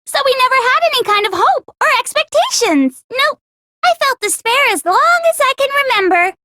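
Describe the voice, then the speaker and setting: A woman's voice: very high voice, sing-song, normal speed, bright, forceful tone, full character voice. A woman voicing a cutesy, flippant teenage girl villain in an anime video game, mock-innocent and breezily nihilistic.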